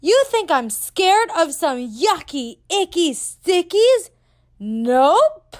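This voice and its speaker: A cartoonish mean Pharaoh for a kids' puppet show. The voice is extremely high and very animated, with exaggerated, slowly stretched words. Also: female voice, no echo, clean studio sound, heavily acted. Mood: defiant, mocking. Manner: scoffing, exaggerated.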